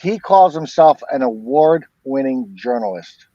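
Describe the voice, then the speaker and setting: A man's voice: medium pitch, animated, unhurried, dark tone, dry recording. A man talking casually about a crime case as a guest, rambling and often repeating himself. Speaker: interview guest. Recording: dull, muffled lo-fi sound.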